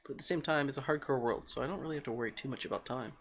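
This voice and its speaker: Calm young man streaming a game. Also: medium pitch, very fast, a little hiss or hum. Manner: rambling, thinking aloud and answering chat. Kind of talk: casual livestream chat while playing.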